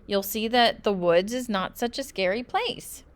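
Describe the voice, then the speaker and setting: A woman's voice: mid-range voice, normal speed, voice pushed up, natural voice. A woman voicing a kindly, encouraging father who is proud and outdoorsy, in a children's storybook. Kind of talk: children's storybook read-aloud.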